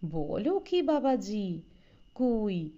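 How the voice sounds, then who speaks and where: Lady doing an old village uncle totally amazed, slow and disbelieving, audio story, bit lo-fi. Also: medium pitch, sing-song, warm tone. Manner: wondering, disbelieving. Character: astonished, naive older village man.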